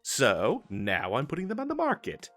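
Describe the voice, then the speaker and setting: Guy doing a eager wizard salesman for a fantasy comedy audio, super expressive up and down voice, showy sales patter, bit of music. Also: medium pitch, normal speed. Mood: pitching, enthusiastic. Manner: salesman-like, flustered stammering, dramatic emphasis. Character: eager, boastful male wizard salesman hawking magical wares. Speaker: street vendor character in an audio roleplay.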